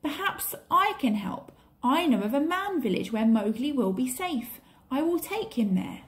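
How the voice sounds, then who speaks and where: A woman's voice: sits high, sing-song, unhurried. A woman voicing a kindly, protective male panther from a storybook in an earnest, guiding manner. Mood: caring.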